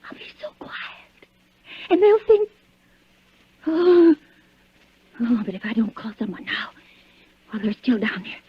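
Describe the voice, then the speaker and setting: A woman's voice: on the high side, big pitch swings, measured pace, pauses often, slightly rough, no echo, exaggerated voice. A woman playing a frantic, demanding invalid wife in 1940s radio suspense style, stammering, imperious and breathlessly nervous. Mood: terrified, hushed. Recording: dull, dry vintage radio recording.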